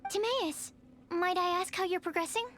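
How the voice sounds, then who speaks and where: A woman's voice: very high voice, normal speed, fairly bright tone, a little hiss or hum. A woman voicing a timid, bookish young female alchemist who speaks politely and carefully in an anime video game. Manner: hesitant, politely formal, careful. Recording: faint music behind the voice.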